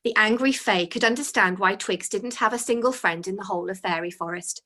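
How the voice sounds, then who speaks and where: A woman reading her own children's story aloud as its author, in an expressive storytelling style. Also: medium pitch, fairly quick.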